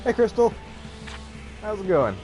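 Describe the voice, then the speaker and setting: A man's voice: high voice, big pitch swings, unhurried, lo-fi sound. A man casually commenting on a live game stream, chatting like an enthusiastic fan in rambling, slangy talk. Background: game music with guitar.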